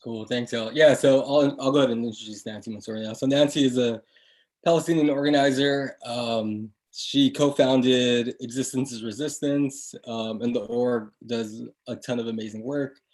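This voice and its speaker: A man's voice: mid-range voice, fairly even intonation, normal speed, a little mellow. A young man hosting a casual interview, introducing the guest and asking loose, rambling questions with lots of fillers. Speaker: interview host.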